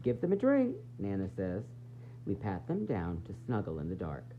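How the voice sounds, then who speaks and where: A man reading a children's picture book aloud in an expressive, dramatic, sing-song way, with varied emphasis. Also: deep voice, moderate pace, faint music behind. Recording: dull lo-fi sound, faint noise and hum.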